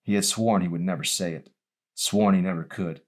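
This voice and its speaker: A man's voice: sits low, steady tone, moderate pace. A man reading his own novel aloud as a dramatic audiobook narration.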